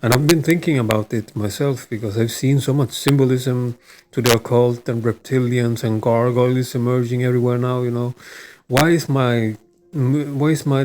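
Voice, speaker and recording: A man's voice: mid-range voice, a little mellow, a little hiss or hum. A man from Sweden with a Swedish accent, hosting his own channel and talking unscripted, hesitating often and restarting his sentences. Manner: halting, many filler sounds and restarts. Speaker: channel host. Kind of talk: casual monologue to camera.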